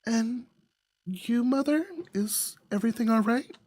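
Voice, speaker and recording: A woman's voice: fairly high pitch, unhurried, darkish tone, dry recording, pitched above the performer's own voice. A woman voicing a polite, worried and dutiful daughter in a visual novel. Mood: anxious, tender.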